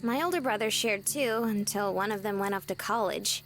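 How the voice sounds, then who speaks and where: A woman's voice: mid-range voice, moderate pace, a bit bright, soft background music, lightly acted. A woman playing a cheerful, open young woman from a big family in visual novel game dialogue. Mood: candid, chatty.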